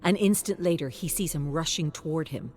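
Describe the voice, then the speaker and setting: A man reading scripted story narration in a measured, even storytelling delivery. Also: a little high.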